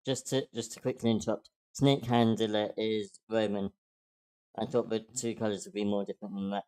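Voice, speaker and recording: A woman with a soft-edged, mellow voice talks casually while organising a script reading. She sounds tentative and apologetic as she directs the readers. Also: extremely low pitch, flat delivery, moderate pace. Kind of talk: casual meeting talk.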